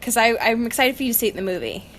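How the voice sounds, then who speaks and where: A woman's voice: on the high side, big pitch swings, rapid-fire, a bit bright. A young woman co-hosting a casual podcast book chat, wry and giggly, with informal slangy phrasing. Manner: giggly, laughs often while talking. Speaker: podcast co-host. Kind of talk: casual chatty book discussion. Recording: faint background noise.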